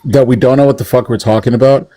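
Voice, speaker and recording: A male panelist with a mellow, darker voice who argues at length and swears freely. He holds the floor once he gets going and goes over his point again and again. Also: mid-range voice, fairly even intonation, quick pace. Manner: argumentative and profane, rants at length. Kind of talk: heated debate chat.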